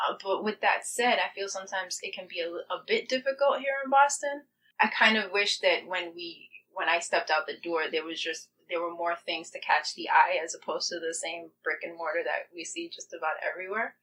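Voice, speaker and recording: A woman's voice: fairly low pitch, fairly quick, a bit bright, slightly rough, rough recording. A woman chatting casually and enthusiastically as a guest playwright in an interview. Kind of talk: casual chat.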